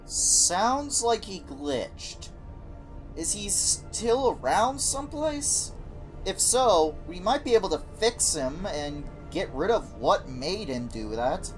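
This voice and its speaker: A gentle, curious character, acted by a performer in a comic dub. The delivery is slow and tentative yet lively in pitch, with music behind it. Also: low-quality recording. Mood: tentative concern. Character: gentle, curious listener asking careful questions. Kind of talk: fan comic dub acting.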